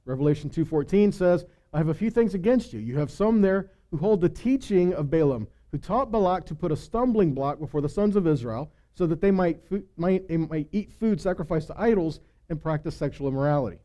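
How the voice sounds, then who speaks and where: Man, preacher, fairly high pitch, soft mellow tone, emphatic teaching, rhetorical questions, quiet asides. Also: animated, a little fast, hardly any pauses. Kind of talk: scripture-teaching sermon.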